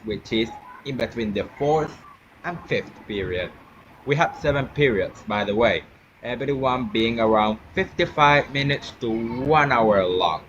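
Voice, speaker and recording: A man's voice: fairly low pitch, a bit bright. A teenage boy from Colombia, a Spanish speaker, hosting a school podcast interview in careful English with some restarts. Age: teens. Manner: slow, careful non-native English with restarts. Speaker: student interviewer. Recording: muffled with noticeable background noise.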